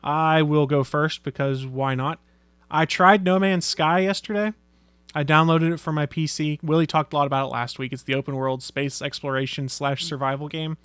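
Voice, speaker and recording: A man's voice: fairly high pitch, steady tone, normal speed, a bit bright, clear, resonant tone, dry recording. A man hosting a geeky podcast, chatting casually and laughing easily. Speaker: podcast host.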